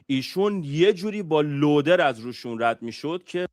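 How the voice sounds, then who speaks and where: Very fast-talking male presenter. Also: on the high side, animated. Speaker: presenter introducing a guest.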